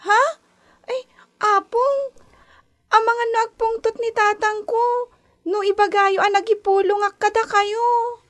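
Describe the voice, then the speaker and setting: A woman's voice: very high voice, level tone, unhurried, pauses often, fairly bright tone. A woman voicing a meek, hungry little girl in a radio drama, whiny and pleading. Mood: timid, worried. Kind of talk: Ilocano radio drama acting.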